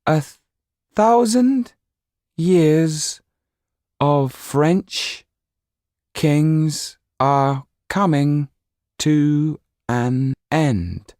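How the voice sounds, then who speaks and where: Male narrator as wooden doll, eerie oracle, high voice, deliberate pronouncements. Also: a little mellow, no echo, clean studio sound. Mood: ominous. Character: eerie wooden fortune-telling puppet.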